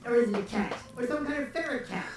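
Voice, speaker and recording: A woman's voice: fairly high pitch, expressive, quick pace, a crowd in the background. A woman voicing a brash, cheeky teenage ninja boy in a fan parody anime dub. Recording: echoey playback of the parody episode.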